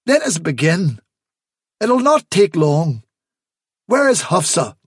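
A man voicing an imperious, impatient storybook prince presiding over a trial, brisk and commanding. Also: a little high, very animated, husky, no echo, studio quality, exaggerated voice. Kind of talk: storybook character reading.

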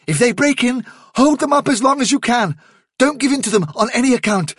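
Man as a high-voiced, urgent rabbit leader. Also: animated, fairly bright tone, husky, no echo, clean studio sound, full character voice. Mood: resolute, urgent. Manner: brisk, clipped orders. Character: decisive male rabbit leader, urgent and commanding. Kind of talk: audiobook character acting.

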